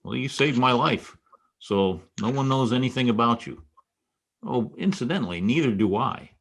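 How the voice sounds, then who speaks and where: An actor playing a wisecracking, world-weary private detective in a noir radio drama, wry and sardonic. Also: male voice, mid-range voice, expressive, moderate pace, a bit bright, breathy edge. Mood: casual, wry. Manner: wry, sardonic, clipped tough talk. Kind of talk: old-time radio noir acting and first-person narration.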